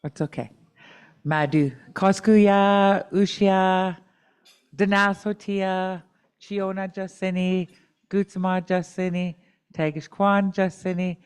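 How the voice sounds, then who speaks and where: A woman's voice: medium pitch, fairly even intonation, slow, clear, resonant tone. A middle-aged woman advocate giving candid personal testimony at a community dialogue, with joking asides.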